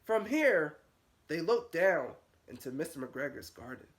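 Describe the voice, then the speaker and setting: A man's voice: on the high side, very animated, unhurried, a bit bright. A man reading a storybook aloud to young children as a nursery teacher, with a sing-song delivery that rises and falls.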